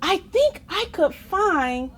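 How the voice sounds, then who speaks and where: Very animated woman reading a children's story. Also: extremely high pitch, slow. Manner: theatrical, emphatic, playful. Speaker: story reader. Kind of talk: expressive children's read-aloud with asides to listeners.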